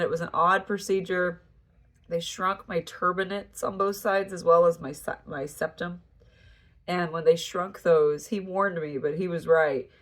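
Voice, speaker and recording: Brief: young adult woman, lowish voice, stuffy nasal congestion, tired casual vlog talk. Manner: tired, relaxed recovery chat. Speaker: farm vlogger.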